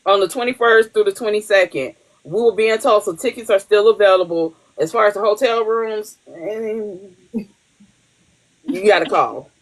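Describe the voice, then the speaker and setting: A woman's voice: mid-range voice, moderate pace, poor audio. Woman, podcast host, casual, blunt, profane, laughs a lot. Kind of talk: casual chat.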